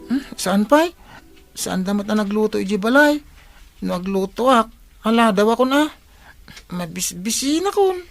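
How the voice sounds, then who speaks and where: A woman's voice: medium pitch, animated, moderate pace, vocal fry, long pauses, soft background music. A woman playing a hungry, complaining school-age child in an Ilocano comedy radio drama. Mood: sulky.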